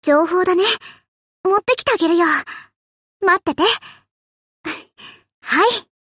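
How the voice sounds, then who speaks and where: A woman's voice: very high voice, animated, unhurried, a bit bright, dry recording, clean studio sound, full character voice. A woman voicing a cheerful, affectionate, boyish young ship girl in an anime game, with a playful, casual tomboyish way of talking. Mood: happy, eager. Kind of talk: anime game character voice lines.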